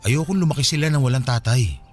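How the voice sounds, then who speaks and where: A man's voice: medium pitch, fast, faint music behind, lightly acted. A man with a Filipino accent playing a remorseful, earnest absent father and husband in a radio drama. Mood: pleading.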